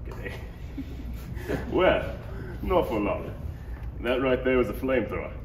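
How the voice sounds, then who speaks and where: A man's voice: mid-range voice, sing-song, a little slow. A man playing a cool, gadget-proud bounty hunter father in a parody table read. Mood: easygoing, proud. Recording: echoey room, distant mic, faint noise.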